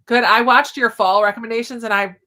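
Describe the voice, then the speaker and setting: A woman's voice: fairly high pitch, fairly even intonation, a little fast, bright, forceful tone. A woman hosting a casual book discussion, talking in a chatty, informal way. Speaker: host of a book channel readalong.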